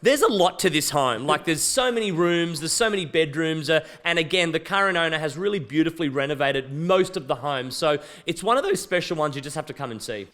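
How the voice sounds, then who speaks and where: A man talks up a property with a loud, eager voice that sits a touch higher than most men's. He speaks casually, repeats himself, and jokes about himself as he goes. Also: lively intonation, fairly quick, hardly any pauses. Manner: loud, enthusiastic, self-joking. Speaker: real estate agent. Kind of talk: property walkthrough chat. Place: outdoors at a house.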